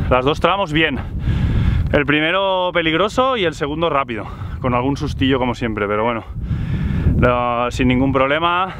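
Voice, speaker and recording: A man in his forties, an enduro racer, giving a chatty, breathless recap to an action camera outdoors on mountain trails. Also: on the high side. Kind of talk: vlog to a helmet camera. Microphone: action camera on chest and helmet. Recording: heavy wind noise on an action cam. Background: loud wind noise.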